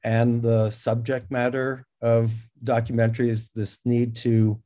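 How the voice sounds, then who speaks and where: Guy moderating an interview, soft mellow voice, mid pitch, casual questions. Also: completely flat delivery, slow pace.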